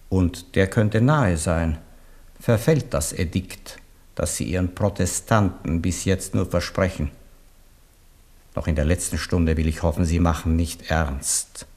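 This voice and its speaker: Insinuating royal adviser. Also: male voice, low voice, animated, a little mellow, exaggerated voice. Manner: measured, warning, insinuating. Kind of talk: 1970s radio play acting.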